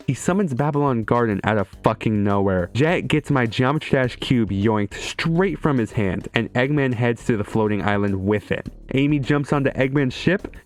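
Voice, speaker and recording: A young man giving joking, irreverent video game commentary in a sarcastic, sweary way. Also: medium pitch.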